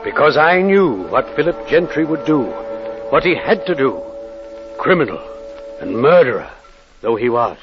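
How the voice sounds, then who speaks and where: An actor playing a solemn clergyman, slow and oratorical, in 1940s radio drama style. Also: a little high, very animated. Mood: grave, solemn. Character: solemn clergyman delivering a grave eulogy and testimony. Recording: dull old radio recording. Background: music.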